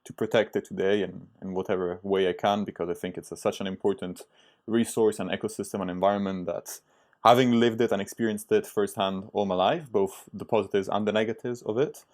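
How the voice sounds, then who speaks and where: Young man, low-pitched, hesitant casual interview guest. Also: steady tone, brisk, darkish tone. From Italy. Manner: hesitant, many fillers and restarts. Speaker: startup founder, podcast guest.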